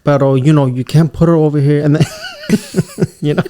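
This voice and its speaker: A man with a New York accent talks casually on a podcast. His voice is dark and mellow, and he slips into mimicry of other accents as he tells his stories. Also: a little high, normal speed. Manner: animated storyteller, mimics accents, says bro. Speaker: podcast co-host. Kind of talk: casual chat.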